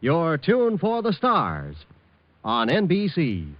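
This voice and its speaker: A male mid-century radio promo announcer with a very high voice for a man. His intonation is lively, and the old recording sounds dull and dry. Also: slow, no room sound.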